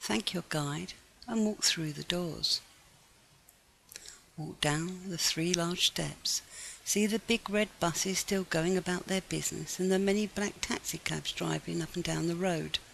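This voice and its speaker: A woman reading a scripted guided meditation in a gentle, measured, instructive manner. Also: on the low side, vocal fry, slight background noise, poor audio.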